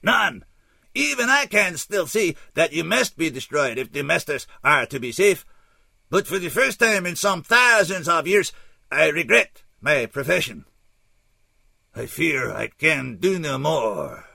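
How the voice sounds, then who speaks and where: Gravelly, high-strained, formal alien. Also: male voice, big pitch swings, unhurried, sharp tone, no echo, studio quality, heavily acted. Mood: anguished, resigned. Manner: stiffly formal, intense, declamatory. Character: tall anguished alien, formal, despairing, doomed servant of masters.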